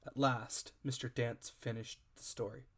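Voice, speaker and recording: A man's voice: mid-range voice, little pitch movement, a little slow, fairly bright tone, breathy edge. A man reading a classic adventure story aloud as scripted, dramatic narration. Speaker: audiobook reader.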